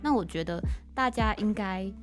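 A woman's voice: medium pitch, moderate pace, faint music behind. A woman with a Taiwanese Mandarin accent hosting a conversational podcast interview, explaining her thoughts at length in an articulate way. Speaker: podcast host.

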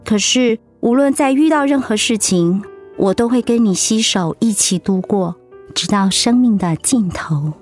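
An actress plays a sincere middle-aged woman, a loving wife and loyal friend in a radio play. Her mid-range voice is soft and measured. Faint music sits under the speech. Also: medium pitch, close to an everyday voice. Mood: tender, earnest. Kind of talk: radio drama acting. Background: background music.

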